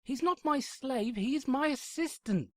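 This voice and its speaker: A woman voicing an indignant, easily exasperated female pony princess in a shrill, exclamatory cartoon manner. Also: animated, a little slow, darkish tone, dry recording. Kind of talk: cartoon fan-fiction voice acting.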